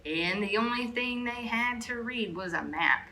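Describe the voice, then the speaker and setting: A woman's voice: a little high, expressive, a little slow, sharp tone, slight background noise. A woman storyteller reading a picture book aloud expressively, slipping in brief asides to young listeners. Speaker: children's storyteller.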